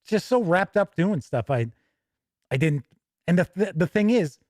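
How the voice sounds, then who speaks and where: A man hosting a tabletop gaming livestream, chatting casually with viewers in a folksy, jokey, animated way. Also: high voice, normal speed, no room sound, clean studio sound.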